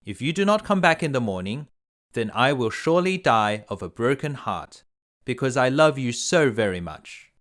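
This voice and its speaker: A man voicing a polite, gentle, lovesick beast in a storybook, speaking in a courteous, earnest way. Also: mid-range voice, big pitch swings, moderate pace. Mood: sad, pleading. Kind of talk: storybook character voice.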